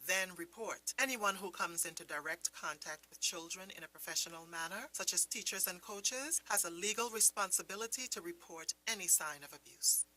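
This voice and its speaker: A woman delivering measured, authoritative scripted educational narration. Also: fairly low pitch, sharp tone, rough recording. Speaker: narrator giving expert guidance. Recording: faint hiss and hum.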